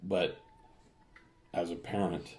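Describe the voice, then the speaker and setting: A man's voice: low voice, level tone, slow, a little mellow. A middle-aged man, a father chatting casually and teasing his daughter. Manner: jokey, dry teasing. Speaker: father and podcast guest. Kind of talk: casual chat.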